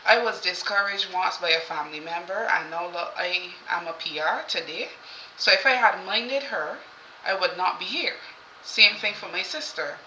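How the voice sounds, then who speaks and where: A woman's voice: fairly low pitch, normal speed, bright, forceful tone, slight background noise. A woman from Barbados with a Barbadian accent, chatting as co-host and giving firm, emphatic advice. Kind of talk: casual chat. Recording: roomy, slightly dull sound.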